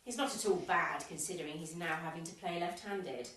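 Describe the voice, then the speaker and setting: A woman's voice: fairly low pitch, a little fast, a bit bright, natural voice. A woman playing a cheeky, flirtatious, gossipy tennis club member in an amateur radio comedy. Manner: teasing, mock-innocent, gossipy. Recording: very echoey room, distant from the mic.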